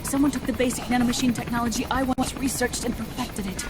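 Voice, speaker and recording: Woman voicing high-pitched, earnest scientist. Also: steady tone, quick pace, natural voice. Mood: remorseful. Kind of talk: video game voice acting. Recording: loud helicopter and vehicle noise behind.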